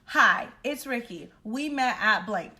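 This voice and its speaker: Bright-voiced young woman telling a story. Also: a little high, slow pace. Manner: animated, dramatic re-enacting of dialogue; blunt, slangy, swearing freely. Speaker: storytelling vlogger. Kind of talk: casual personal storytime, chatty and profane.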